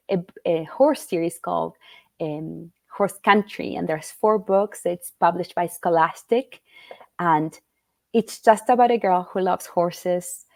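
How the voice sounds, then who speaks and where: A woman's voice: fairly low pitch, darkish tone, no echo. A middle-aged woman from Argentina, a children's book author answering interview questions in an earnest, thoughtful way with frequent fillers and restarts. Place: at home in Utah.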